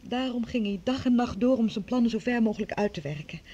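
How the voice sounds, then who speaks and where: An actress playing a composed, grieving professor's daughter in an old radio drama, with a restrained, formal manner. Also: female voice, a little high, quick pace, mellow, muffled recording, close to an everyday voice. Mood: subdued grief. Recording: old radio recording with faint noise.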